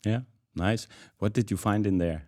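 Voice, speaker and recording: A man hosting an interview podcast in a measured, conversational way. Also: on the low side, warm tone.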